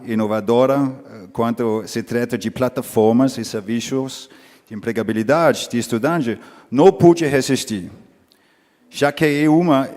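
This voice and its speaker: A man speaking Portuguese as a second language, giving a conference presentation in a careful, halting way with frequent restarts. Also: medium pitch, darkish tone. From foreign, lived in Brazil as a teen. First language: not Portuguese. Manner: careful, halting non-native speech with restarts. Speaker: conference speaker, company vice-president. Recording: reverberant conference hall.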